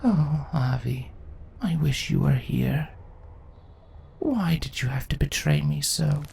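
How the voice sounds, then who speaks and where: A man voicing a ruthless, imperious female changeling insect queen in a story reading, haughty and deliberate in delivery. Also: fairly high pitch, gravelly, a little mellow, a little hiss or hum, heavily acted. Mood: uneasy, wistful. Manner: haughty, deliberate, grandly self-justifying.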